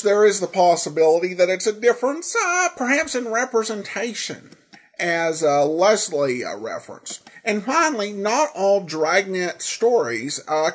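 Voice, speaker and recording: A podcast host commenting on old radio shows and answering listener feedback in a halting, hesitant way with frequent pauses. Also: medium pitch, breathy edge.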